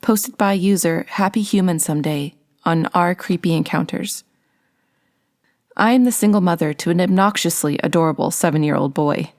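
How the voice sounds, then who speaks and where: A woman's voice: lowish voice, moderate pace, darkish tone. A woman reading a first-person scary story as scripted narration.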